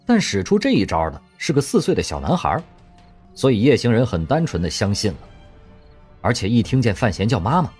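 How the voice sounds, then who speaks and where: Breathy, lively male narrator. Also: medium pitch. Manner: expressive storytelling. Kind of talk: scripted audio drama narration. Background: faint music.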